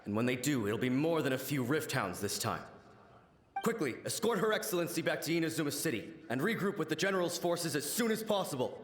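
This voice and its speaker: Staunch, echoing samurai soldier. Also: male voice, a little high, sing-song, fairly quick. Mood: urgent, respectful. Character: staunch male samurai soldier, urgent and loyal. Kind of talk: dramatic anime game voice acting. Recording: echoing reverb with game music.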